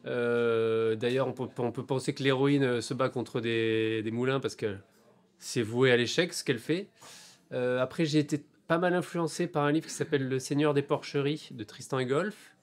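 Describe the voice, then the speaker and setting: A man in his forties from France talks about his novels in a bookshop interview. He searches for words, pausing and restarting often, yet keeps a reflective, earnest tone. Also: mid-range voice, steady tone. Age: 40s. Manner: hesitant, many fillers and restarts, thoughtful. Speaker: author.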